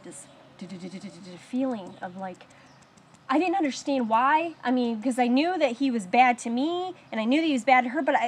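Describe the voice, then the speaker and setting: A woman sharing her own story of surviving abuse in a support circle, talking in a halting, reflective way with pauses and restarts, and slowing and softening on painful memories. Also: on the high side, breathy edge. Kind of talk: personal testimony in a support circle.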